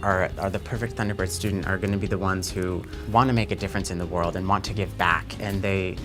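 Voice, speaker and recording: A man speaks thoughtfully, restarting phrases as he goes. His voice is very deep. Guitar music plays clearly behind. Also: fairly even intonation, quick pace. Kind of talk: interview.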